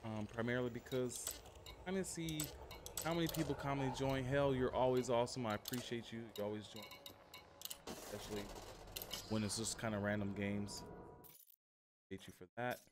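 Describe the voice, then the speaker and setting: A man's voice: mid-range voice, fairly even intonation, a little fast, pauses often, background noise. A man chatting informally and in a rambling way to viewers as he streams a game. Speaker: live streamer. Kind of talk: casual gaming livestream chat.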